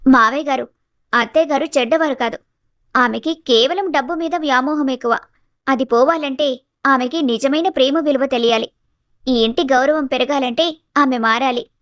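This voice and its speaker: A woman playing a composed, outspoken young daughter-in-law in an animated family story, speaking firmly and politely with reproach. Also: high-pitched, normal speed. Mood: calm, advising.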